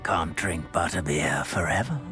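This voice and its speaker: An actor playing a smug, menacing criminal wizard in a video game, mocking and theatrical in a silky, threatening way. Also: male voice, low-pitched, animated, unhurried, over music. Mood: threatening, scornful. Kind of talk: video game villain acting.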